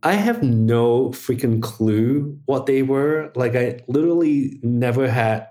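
A man's voice: medium pitch, lively intonation, slow, mellow. A middle-aged man from Hong Kong, a guest on an interview podcast, telling a personal story in a casual, chatty way with many fillers. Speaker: interview guest, media executive.

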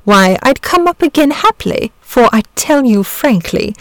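A woman voicing a big blue storybook dragon, a lazy, vain gentleman with a chatty, fussy, old-fashioned politeness. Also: sits high, lively intonation, normal speed, dry recording, pitched above the performer's own voice. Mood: smug, frank. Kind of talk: storybook character voice.

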